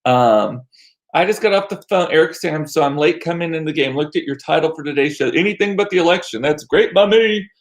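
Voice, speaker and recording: Chatty American man, slightly high voice. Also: expressive, fairly quick. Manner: chatty, rambling, self-deprecating asides. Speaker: livestream host. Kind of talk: casual chat to live viewers. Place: small home room used as studio.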